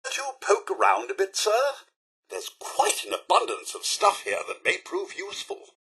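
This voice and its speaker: Game robot butler: British, prim and sarcastic, raspy edge, swooping theatrical delivery. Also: male voice, high voice, huge pitch swings, moderate pace, bright, forceful tone, heavily acted. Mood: chatty, polite. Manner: theatrical, polite, primly disapproving butler diction. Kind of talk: video game companion voice lines.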